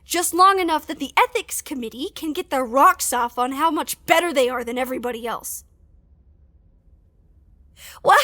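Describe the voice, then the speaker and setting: A trapped, fed up facility worker, high bright voice, bitter and overwhelmed, audio drama style. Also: female voice. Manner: bitter, sweary, halting and repeating when overwhelmed. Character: distraught, bitter trapped female staffer at a secret facility. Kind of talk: audio drama acting, emotional monologue.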